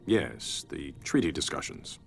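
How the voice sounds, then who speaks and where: A man's voice: very deep voice, big pitch swings, measured pace, gravelly, soft background music, exaggerated voice. An actor voicing a stern, regal undersea king in a video game, formal and demanding. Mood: commanding. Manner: formal, commanding.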